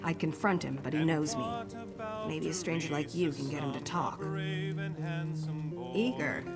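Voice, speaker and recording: A gruff orc tavern-goer in a fantasy video game. Her voice sits on the low side and she is blunt, curt and wry. Loud music plays underneath. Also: fairly even intonation, a little slow. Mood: gruff, conspiratorial. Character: gruff orc woman agent drinking in a tavern.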